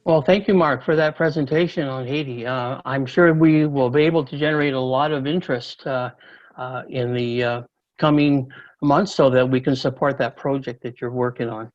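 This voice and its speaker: A man's voice: medium pitch, fairly even intonation, normal speed. A man hosting a virtual meeting, introducing speakers politely over a video call. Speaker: meeting host. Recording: dull lo-fi call audio.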